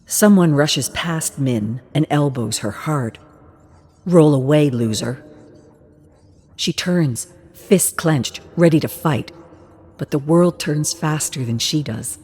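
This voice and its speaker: Female narrator, low-pitched and unhurried, for intense dramatic story narration. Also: darkish tone, breathy edge.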